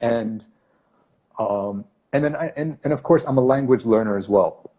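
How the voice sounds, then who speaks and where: A man's voice: mid-range voice, normal speed, dull audio. A man in his thirties from Brunei, whose first language is not English, talks casually on a livestream as an English teacher, often correcting himself and greeting viewers by name. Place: small office room indoors. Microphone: phone camera at arm's length.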